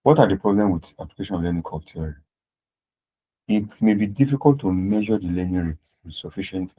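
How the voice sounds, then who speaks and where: A deep-voiced man with a husky edge teaches accounting over an online call. He repeats his points and asks the class rhetorical questions. The sound is dull and lo-fi. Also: dark tone. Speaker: accounting lecturer. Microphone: online class audio.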